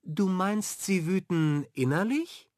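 A man voicing a cheerful young woman teacher, bright and curious. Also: high voice, animated, slow pace, dry recording, clean studio sound, voice pushed up.

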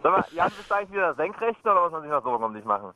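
A man's voice: fairly high pitch, sing-song, rapid-fire, bright, forceful tone. A man calling in to a radio show, teasing the hosts in a dry, casual way from a windy spot outdoors. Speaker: radio caller. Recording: muffled phone line.

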